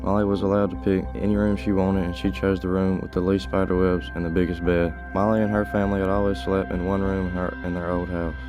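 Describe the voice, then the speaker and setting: A man's voice: low-pitched, little pitch movement, fast talker, hardly any pauses, over music. A teenage male reading scripted story narration.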